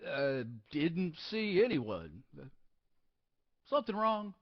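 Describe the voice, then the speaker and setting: A man at a live table read playing a helpful, deferential janitor who speaks haltingly and politely. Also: fairly high pitch, huge pitch swings, lo-fi sound, exaggerated voice. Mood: puzzled, concerned.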